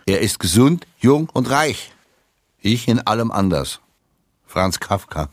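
An actor playing sardonic men of letters, reciting literary jibes for radio in a deliberate, dry manner. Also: male voice, lowish voice, sing-song, no echo, faint music behind, exaggerated voice.

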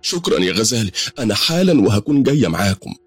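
A man voicing a panicked woman servant in a storybook tale, shrill and pleading in Egyptian Arabic. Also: sing-song, racing, breathy edge, no room sound, soft background music. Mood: relieved.

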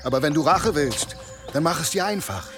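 An actor in a radio play voicing a weary, repentant medieval warrior, grave and resigned in measured phrases. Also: male voice, a little high, animated, music in the background. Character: weary, repentant medieval berserker warrior sworn against weapons.

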